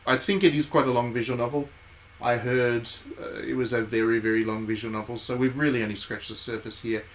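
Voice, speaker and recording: A man's voice: mid-range voice, fairly even intonation, fairly quick, a little hiss or hum. A man hosting a game stream, giving casual live commentary and thinking aloud in conversational asides.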